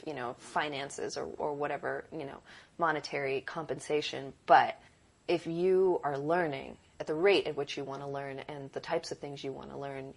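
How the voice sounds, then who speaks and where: A woman with a low, deep voice and a gravelly edge is a guest in an easygoing interview. She speaks earnestly and thoughtfully, circling back and restarting as she works out her points. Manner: earnest, reflective, thinks aloud with restarts. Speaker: producer and director, guest. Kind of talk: casual interview conversation.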